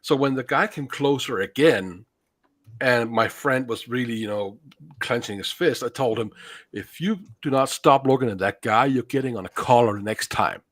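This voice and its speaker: A man on a podcast panel telling a personal story casually, in halting, pieced-together phrases. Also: medium pitch, dry recording.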